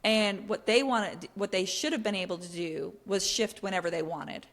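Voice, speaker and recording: A woman's voice: mid-range voice, normal speed, big-room sound. A woman co-hosting a book podcast, explaining things in an informal, chatty way. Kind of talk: casual book discussion.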